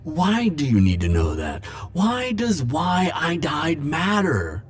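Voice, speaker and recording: A man playing a defensive, lovelorn immortal in an ASMR roleplay, speaking hesitantly with stammers and halting phrases. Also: fairly high pitch, huge pitch swings, slight background noise, full character voice. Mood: defensive, flustered. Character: flirtatious, defensive male immortal pining for Grim Reaper. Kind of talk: ASMR roleplay monologue to the listener.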